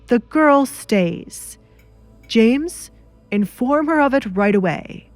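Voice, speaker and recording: A woman's voice: fairly high pitch, expressive, slow, dry recording, faint music behind, voice pushed up. Lady doing a bossy middle-aged baron dad, curt orders, dry audio drama sound. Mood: commanding. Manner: curt, authoritative. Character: stern, commanding middle-aged baron, father of the house.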